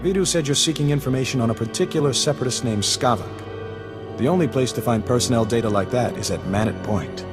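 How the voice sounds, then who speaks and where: Game informant male actor: sly, florid, mock-courteous smuggler, mid-pitched, reverberant with music behind. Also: a little fast, lightly acted. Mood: smug, instructive. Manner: florid, mock-polite, wordy. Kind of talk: video game character dialogue. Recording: reverberant hall sound under music.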